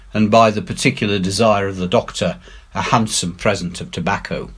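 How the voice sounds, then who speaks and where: Male storybook narrator, fairly deep and husky, expressive, measured delivery with emphatic phrasing. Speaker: head teacher reading aloud.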